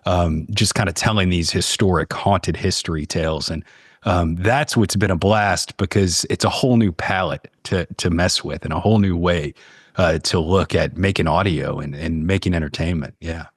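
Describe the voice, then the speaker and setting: A man with a deep, low voice chats as a podcast guest. The recording is dry, with no room sound, and his talk is informal and conversational. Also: normal speed, slightly rough, no echo. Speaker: podcast guest, audio storyteller.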